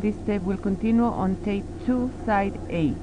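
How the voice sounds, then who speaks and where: A woman's voice: mid-range voice, moderate pace. A woman reading a formal, precise scripted announcement for a tape log. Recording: noisy dictation cassette transfer.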